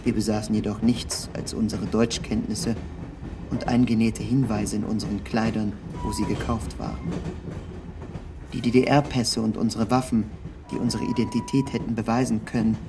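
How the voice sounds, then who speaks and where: A man reading a scripted literary narration in the first person, with measured, precise and formal diction. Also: fairly low pitch, fairly even intonation, noisy background.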